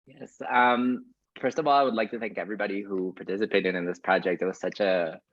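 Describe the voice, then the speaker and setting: A man's voice: lowish voice, steady tone, fairly quick, fairly bright tone. A man speaking as a guest in a casual, earnest, reflective interview style. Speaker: guest playwright and actor. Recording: very roomy, dull lo-fi audio.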